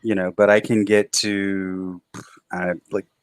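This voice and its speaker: A man with a baritone voice and a bit of a twang, telling rambling stories in a casual late-night stream chat. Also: little pitch movement, takes it slowly, smooth, no room sound. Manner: rambling storyteller, restarts a lot. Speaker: stream co-host.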